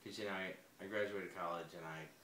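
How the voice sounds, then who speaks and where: A man in his forties with a very low voice answers interview questions hesitantly, often pausing and restarting. The recording sounds echoey, with a faint hum of room noise behind him. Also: fairly even intonation. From United States. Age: 40s. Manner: hesitant, soft-spoken, many fillers and restarts. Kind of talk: personal interview.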